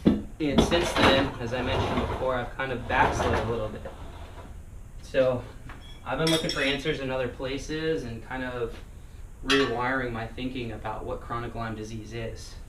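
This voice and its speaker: A man talking casually in a personal vlog, rambling with many fillers and restarts, his voice still getting over hoarseness. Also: mid-range voice, steady tone, normal speed. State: recovering from lost voice. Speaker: vlogger. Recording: echoey room, mic at a distance, noisy.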